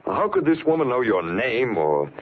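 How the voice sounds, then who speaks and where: An actor playing a level-headed, sceptical confidant with measured, probing delivery in 1940s radio drama. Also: male voice, mid-range voice, sing-song. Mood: probing, sober. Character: level-headed, sceptical male confidant questioning a troubled friend. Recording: muffled old radio recording with music.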